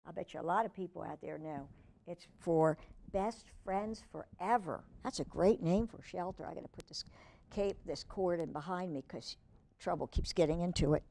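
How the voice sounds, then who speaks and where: A woman's voice: lowish voice, normal speed, vocal fry, warm tone. A woman reading a children's book aloud expressively, with chatty asides to young listeners and a pet. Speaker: children's storytime reader.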